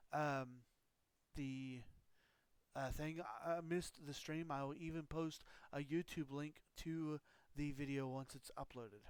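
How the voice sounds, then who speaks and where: A man chats through a live game stream, his voice a little high and smooth yet roughened by a scratchy throat. He rambles through explanations with plenty of ums. The sound is close and dry. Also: no room sound. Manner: rambling, loose explanations with frequent fillers. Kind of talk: casual live-stream chat.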